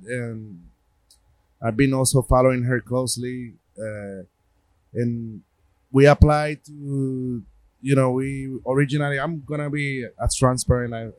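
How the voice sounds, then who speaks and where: A man's voice: medium pitch, no room sound, rough recording. A middle-aged man with a Puerto Rican accent, a gallery owner chatting in an interview, rambling with many restarts and fillers. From Puerto Rico. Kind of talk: casual interview talk.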